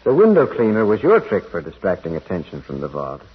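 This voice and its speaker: A muffled old radio broadcast features a gentle priest who solves crimes. His voice is fairly low with wide, expressive swings, and he picks his words with quiet, moralizing care, in 1940s radio style. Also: slightly rough, full character voice. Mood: quietly knowing. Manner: hesitant, deliberate, quietly moralizing. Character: gentle, shrewd, compassionate priest detective. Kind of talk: 1940s American radio drama acting.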